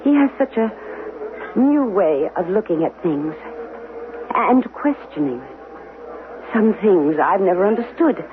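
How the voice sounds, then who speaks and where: Thin narrowband radio sound, clear noise, troubled woman, hesitant, pensive, doubting, radio drama. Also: medium pitch, big pitch swings, unhurried, pauses often. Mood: pensive, unsure. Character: troubled, doubting mother in a controlled future society. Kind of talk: 1970s radio drama acting. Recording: narrowband, noisy 1970s radio recording.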